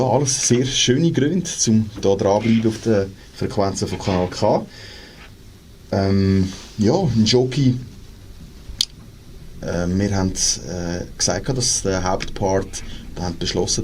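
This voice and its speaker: A man with a Swiss German accent hosts a radio interview, his voice sitting low. He talks hesitantly, with many fillers and restarts. A faint hiss runs under the studio sound. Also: expressive, measured pace, darkish tone. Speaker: radio host.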